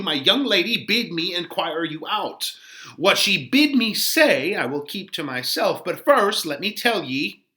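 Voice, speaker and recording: A man's voice: high-pitched, bright, forceful tone, pitched above the performer's own voice, heavily acted. A man voicing an indignant, comical old woman, a chattering nurse in a Shakespeare play, with a flustered, huffy, emphatic manner. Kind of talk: dramatic Shakespeare reading.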